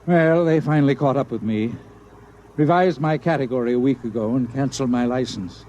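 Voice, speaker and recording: An actor playing an excitable, blustering old man, an indignant washed-up swindler with a shaky voice, in 1950s radio drama style. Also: medium pitch, fairly quick, lo-fi sound, slight background noise, lightly acted. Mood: rueful, grumbling. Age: older.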